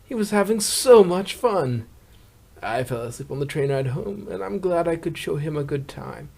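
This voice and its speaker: A man's voice: fairly high pitch, lively intonation, moderate pace, vocal fry, darkish tone. A man voicing a good-natured, pun-loving pony who narrates a fan comic blog in a chatty way. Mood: cheerful. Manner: chatty, eager questioner.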